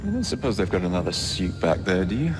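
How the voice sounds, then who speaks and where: A man's voice: deep voice, wildly swooping pitch, quick pace. An actor playing a cheeky, brash young Victorian man in a video game cutscene. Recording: loud music over the dialogue.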